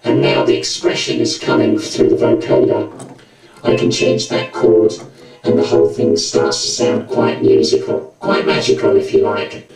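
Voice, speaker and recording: A man's voice: mid-range voice, level tone, normal speed. A man demonstrating music gear, speaking through a synth-driven vocoder effect. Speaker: tutorial presenter. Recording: echoey room, loud synthesizer tones.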